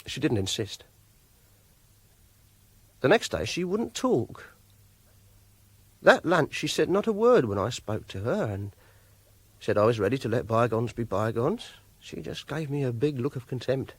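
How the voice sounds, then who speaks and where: A man's voice: mid-range voice, big pitch swings, measured pace, long pauses, breathy edge, dry recording. An actor playing an awkward, obsessive young captor in a radio drama, hesitant and insistent in his speech. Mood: quiet, brooding. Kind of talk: radio drama acting with confessional narration.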